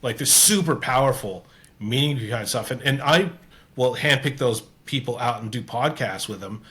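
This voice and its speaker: A man's voice: mid-range voice, moderate pace, fairly bright tone. A man with a Canadian accent hosting a casual interview, talking earnestly and rambling with many restarts. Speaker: podcast host.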